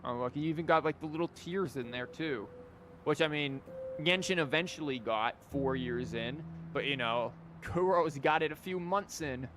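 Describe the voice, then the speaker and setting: A man's voice: fairly high pitch, normal speed, noisy background. A man giving casual playthrough commentary as a game streamer, with wry, joking, rambling asides. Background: game music.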